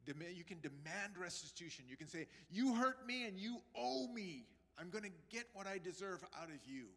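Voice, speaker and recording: A man's voice: sits high, lively intonation, normal speed, sharp tone. A man in his sixties, born in Pakistan, preaching a sermon in an earnest, conversational way with asides to his congregation. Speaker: pastor. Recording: long hall reverb.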